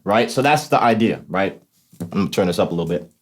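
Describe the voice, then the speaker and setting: A man's voice: sits low, expressive, fast, soft background music. A man hosting an instructional demonstration, explaining things informally and often checking in with the listener. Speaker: host of a talk box tutorial series.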